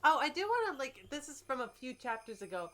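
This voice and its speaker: A woman's voice: high voice, very animated, a little fast, pushed and bright. A woman chatting casually and jokingly as a guest commentator.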